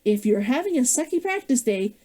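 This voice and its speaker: A woman's voice: high-pitched, big pitch swings, a little fast, warm tone. A woman author reading her own novel aloud, then chatting about writing and laughing often. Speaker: author and streamer.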